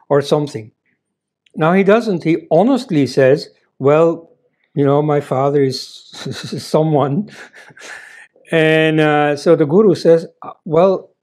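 A man's voice: fairly high pitch, a little mellow. An older man whose first language is Dutch, seated at a desk and giving a conversational talk with many "you see" asides. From Belgium. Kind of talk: lecture-style talk. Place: office room indoors.

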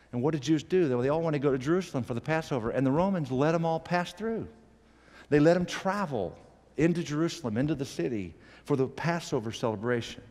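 Middle-aged pastor preaching, gets loud then drops low, headset mic, long hall echo. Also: male voice. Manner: animated, gestures broadly, swings from loud emphatic peaks to quiet low asides. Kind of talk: sermon. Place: church stage, indoors.

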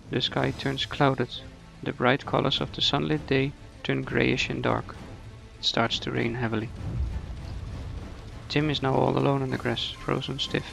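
A man narrating a scripted radio play in a measured, dramatic storytelling manner. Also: medium pitch, vocal fry.

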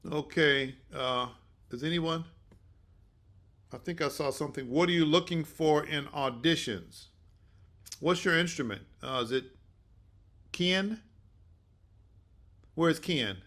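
An older man hosting an online session informally, speaking haltingly with many restarts. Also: medium pitch, fairly bright tone. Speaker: host and mentor. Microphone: video call.

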